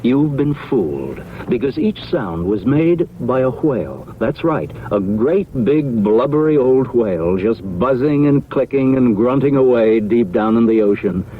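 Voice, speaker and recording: A man's voice: medium pitch, animated, a little slow, hardly any pauses. A man reading a scripted 1970s radio public service announcement. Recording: tinny, faintly noisy vintage radio recording.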